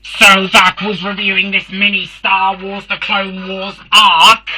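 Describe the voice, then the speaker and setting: A performer voicing a Dalek, a robotic alien warrior hosting a fan review show, with a harsh filtered voice and halting, emphatic delivery. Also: medium pitch, level tone, bright, forceful tone, slightly rough, heavily acted.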